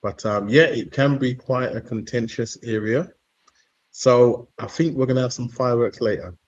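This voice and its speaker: A man's voice: mid-range voice, moderate pace, a little hiss or hum. A man speaking casually as a talk show panelist, on dull, lo-fi audio.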